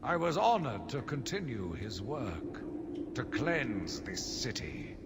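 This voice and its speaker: An actor playing a zealous, deluded asylum warden who delivers a theatrical, deliberate diary monologue in a video game. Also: male voice, medium pitch, very animated. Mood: grandiose fervor. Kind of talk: dramatic first-person game monologue. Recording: long reverb, clearly noisy ambient backdrop.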